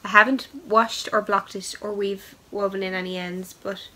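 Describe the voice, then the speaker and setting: A woman's voice: medium pitch, flat delivery, moderate pace, sharp tone, poor audio. Chatty woman with a head cold. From Ireland. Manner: chatty, many ums, upbeat asides. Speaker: knitting podcast host. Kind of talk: casual show-and-tell chat.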